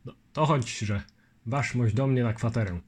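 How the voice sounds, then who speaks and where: A man voicing a calm, matter-of-fact older military commissioner in an audiobook reading. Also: mid-range voice, measured pace, natural voice. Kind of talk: audiobook character voice.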